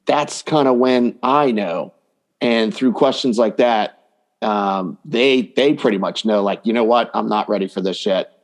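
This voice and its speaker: A man's voice: fairly low pitch, unhurried. A man speaking as a dating coach guest in a conversational podcast interview, explaining points by voicing hypothetical quotes.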